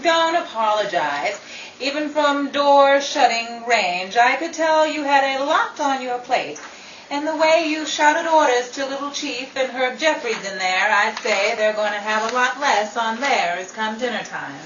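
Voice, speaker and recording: Woman acting a wry, high-voiced nosy 1930s girlfriend. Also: lively intonation, unhurried, fairly bright tone, muffled recording. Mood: wry, teasing. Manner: wisecracking, dry. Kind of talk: old-time radio comedy acting. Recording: roomy, distant, faintly noisy recording.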